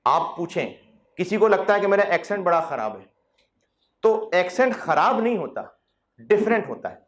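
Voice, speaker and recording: A man's voice: sits high, very animated, normal speed. A male accounting lecturer, talking to students in a classroom in a chatty, coaxing way. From Pakistan. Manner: conversational, coaxing, teasing with mock quotes.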